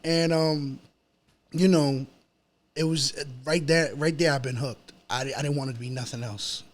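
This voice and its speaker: A man's voice: on the high side, steady tone, moderate pace. Casual, slangy New York man. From Chelsea, Manhattan, New York, USA. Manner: slangy, frequent "you know". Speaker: rapper, interview guest. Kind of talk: casual conversational interview.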